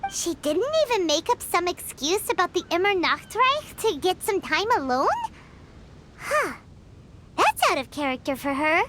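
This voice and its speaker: A woman voicing a tiny, chatty, excitable fairy girl companion in a video game, high and squeaky, talking about herself in the third person. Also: a little slow, slight background noise, exaggerated voice.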